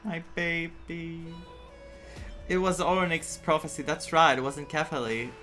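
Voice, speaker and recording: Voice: mid-range voice, a little slow. A game streamer giving live reaction commentary, thinking aloud and getting emotionally invested in the story. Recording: game music behind the voice. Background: video game music.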